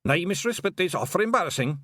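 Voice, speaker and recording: A small, weary pixie aviator from a storybook with a Scottish accent. His voice sits well above a man's natural pitch and moves in lively swings. He answers politely and matter-of-factly, recorded dry and close. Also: fast talker, no echo.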